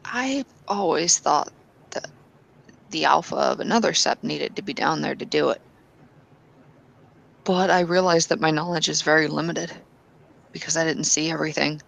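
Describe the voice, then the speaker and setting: A woman's voice: low voice, moderate pace, vocal fry, long pauses, a bit bright, rough recording. A woman playing a tabletop roleplaying game, speaking in character, earnestly and with some hesitant restarts.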